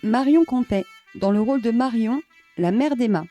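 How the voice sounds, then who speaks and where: A woman's voice: on the high side, moderate pace, dark tone, dull audio, soft background music. A woman reading out scripted credits. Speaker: credits reader.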